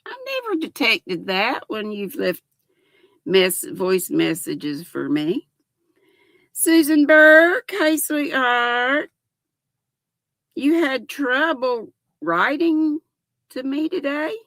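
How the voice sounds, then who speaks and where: Older lady chatting with her stream viewers, giggly and folksy, kinda gravelly, dry close mic. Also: high-pitched, big pitch swings, takes it slowly, long pauses, fairly bright tone, no echo. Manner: chatty, folksy, giggly, reads chat aloud. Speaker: livestream host. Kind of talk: casual chat reading viewer comments.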